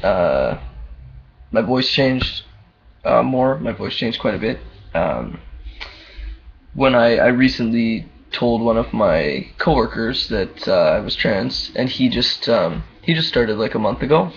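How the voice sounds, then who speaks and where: A young man with a very low voice, talking candidly in a casual video diary, full of ums and uhs. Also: very animated, takes it slowly, vocal fry. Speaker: vlogger. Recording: dull lo-fi camera audio, faint hum.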